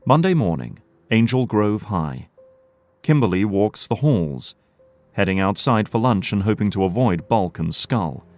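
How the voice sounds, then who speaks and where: Low-pitched male drama narrator. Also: sing-song, measured pace, a little mellow, faint music behind. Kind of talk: scripted narration of audio drama. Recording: faint background noise.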